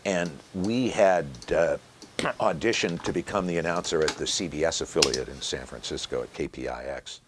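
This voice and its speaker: Very deep-voiced American man in his sixties. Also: normal speed, noisy background. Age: 60s. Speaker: stadium announcer and voiceover artist. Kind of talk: reflective interview talk.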